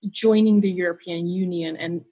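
Woman from Hungary, thirties, Hungarian native speaker; husky, breathy tone; chatty storyteller who restarts her sentences. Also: fairly low pitch, steady tone, moderate pace, warm tone. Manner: storytelling, laughs easily, frequent restarts. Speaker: podcast guest. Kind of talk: casual interview chat.

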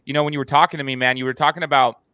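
An American man hosts an interview podcast in a bright, punchy voice. He sits at a middle pitch and sounds close and dry, with no room around him. His way of talking is informal and chatty, like one buddy to another. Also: steady tone, rapid-fire, dry recording. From United States. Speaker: podcast host. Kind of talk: casual, chatty interview hosting.

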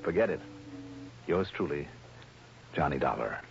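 An American actor playing a shrewd, persistent insurance investigator in 1960s radio drama, both in scenes and narration. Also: male voice, a bass voice, lively intonation, a little slow, gravelly, heavily acted. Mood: dry, satisfied. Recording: muffled, noisy old radio recording.

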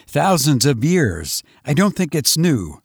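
A man's voice: fairly high pitch, sing-song, slow, gravelly, slightly rough, no echo, clean studio sound, pitched above the performer's own voice, exaggerated voice. A man voicing a frantic, exhausted man who has fled invaders, speaking breathlessly and urgently.

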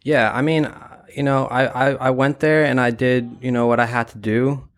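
A man speaking casually as an interview guest, reflective and halting, often padding his sentences with "like" and "you know.". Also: medium pitch, flat delivery. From Brooklyn, New York; born in Ukraine. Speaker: interview guest, gallery founder.

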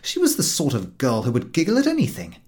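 Animated male storyteller, very wide-ranging pitch. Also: fast talker. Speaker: audiobook narrator. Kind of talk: expressive scripted narration of a humorous story.

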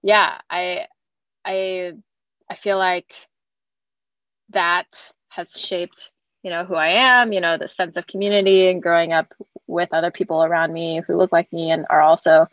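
A woman's voice: on the low side, little pitch movement, measured pace, fairly bright tone, no echo, lo-fi sound. A young American woman from San Diego, talking casually as a podcast guest, rambling with many fillers. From San Diego, California. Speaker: guest athlete and designer. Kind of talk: interview conversation.

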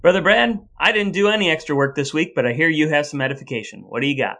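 A man's voice: fairly high pitch, quick pace, gravelly, bright, forceful tone. A man hosting a podcast, moving between scripted introductions and casual banter. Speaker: podcast host.

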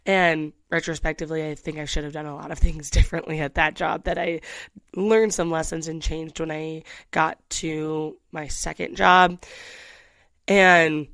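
A young woman in her twenties tells her story in a loose, candid way, often restarting her sentences and tossing in asides. Her voice sits on the low side for a woman. The sound is close and dry. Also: steady tone, normal speed, creaky, fairly bright tone, dry recording. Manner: chatty, candid, with frequent restarts and "like". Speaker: vlogger. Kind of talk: casual storytelling to camera.

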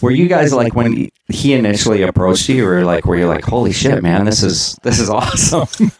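A man's voice: on the low side, very animated, moderate pace, a little mellow. A Canadian man hosting a casual interview, laid-back and chuckling, with rambling questions. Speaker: podcast interviewer.

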